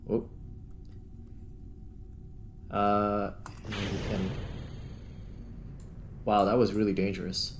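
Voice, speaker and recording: Male gaming host, lower register, casual think-aloud play commentary, faint noisy backdrop. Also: little pitch movement, slow pace, pauses often. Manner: thinks aloud while playing. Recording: clear background noise.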